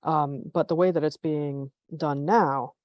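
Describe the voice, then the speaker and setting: A woman's voice: sits low, fairly even intonation, unhurried, dry recording, dull audio. A woman hosting a podcast interview, enthusiastic and appreciative, with many "you know" fillers. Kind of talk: conversational interview.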